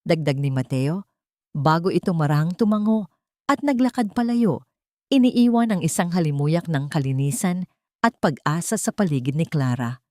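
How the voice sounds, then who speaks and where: A woman reads a dramatic scripted story in an emotive, measured way. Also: deep voice, animated, darkish tone, no echo, clean studio sound. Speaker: story narrator.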